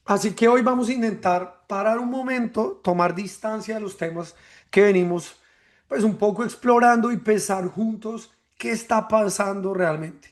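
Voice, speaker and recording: A man from hosts a podcast in a high, light voice. He talks in a measured, reflective way, often ending a thought with a tag question. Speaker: podcast host. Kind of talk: reflective podcast monologue and interview.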